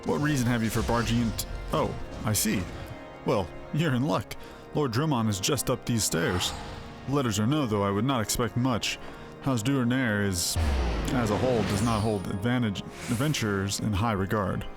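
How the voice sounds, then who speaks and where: A man's voice: medium pitch, moderate pace, lightly acted. A man voicing a brusque officer in a fantasy video game, speaking in stern, formal, cautioning phrases. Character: stern, brusque male officer guarding his lord's door. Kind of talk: video game character dialogue, read aloud. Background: music.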